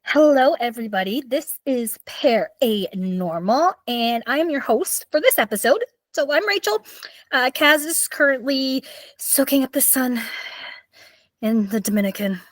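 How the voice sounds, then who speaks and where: A woman in her thirties with a raspy voice, hosting a podcast and chatting casually with lots of fillers. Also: high-pitched, expressive, unhurried, no echo. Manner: chatty, rambling, with many fillers. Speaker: podcast host. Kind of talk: casual solo storytelling.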